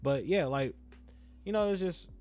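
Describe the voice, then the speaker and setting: A man's voice: fairly high pitch, expressive, a little slow, a little mellow, soft background music. A young man with a slight speech impediment, giving casual, opinionated game commentary in a rambling, slangy way, repeating phrases for emphasis. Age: young adult. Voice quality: self-described speech impediment. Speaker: YouTube gaming content creator.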